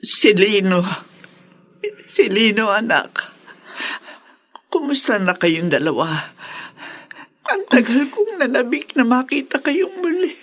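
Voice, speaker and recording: A woman's voice: a little high, expressive, slow, pauses often, fairly bright tone, heavily acted. An actress with a Filipino accent playing a remorseful, ailing older mother who pleads emotionally in a radio drama. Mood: tearful pleading.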